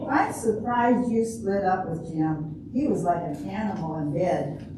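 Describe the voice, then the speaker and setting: An older woman playing a grumpy, cheeky friend on a beach trip in an amateur comedic stage reading, with a deadpan, wisecracking delivery. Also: fairly low pitch, unhurried, lightly acted. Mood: wry. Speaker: amateur readers theater performer. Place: large echoey room before an audience. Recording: echoey hall from far off, noisy.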